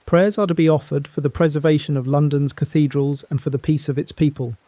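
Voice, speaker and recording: An actor playing a somber male foreign correspondent reporting from abroad, in a hushed, somber manner. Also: fairly high pitch, steady tone, a little fast, dry recording, natural voice. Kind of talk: scripted dramatic news report.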